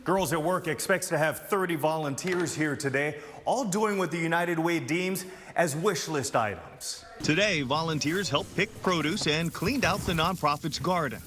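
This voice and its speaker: A young man reporting the news on local television, with a crisp, measured newscast delivery. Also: a little high, fairly bright tone, a little hiss or hum.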